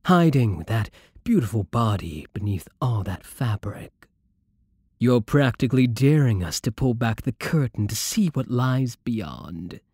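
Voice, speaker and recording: A suave, possessive vampire aristocrat, acted by a man, speaking slowly and seductively in a close, dry ASMR roleplay. His pitch swoops and dips through courtly teasing, with polite menace underneath. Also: very animated, darkish tone, husky, dry recording. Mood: seductive, admiring. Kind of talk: intimate ASMR roleplay acting.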